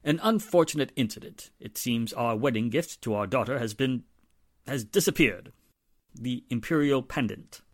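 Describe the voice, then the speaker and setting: Man playing wealthy middle-aged father, genteel, hemming, politely insinuating, medium pitch, dry sound, 1940s radio drama. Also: expressive, moderate pace, dry recording, natural voice. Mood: apologetic, placating.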